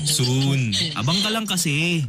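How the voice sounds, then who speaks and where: A man's voice: a little high, full character voice. An actor playing a caring young man who reassures his girlfriend over the phone in a radio drama. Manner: gentle, reassuring, animated. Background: loud dramatic music.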